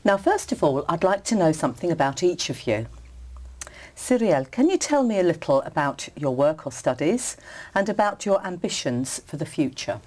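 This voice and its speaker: A woman examiner conducting a speaking exam, asking questions in a polite, measured way. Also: low-pitched, very animated, darkish tone.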